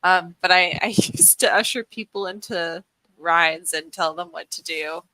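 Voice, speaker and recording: A giggly woman co-hosting a live podcast in a bright, forceful voice. She speaks slowly and in an animated way, reading chat messages aloud, and her voice sounds close and dry. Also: fairly low pitch, dry recording, low-quality recording. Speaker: host's daughter, co-host.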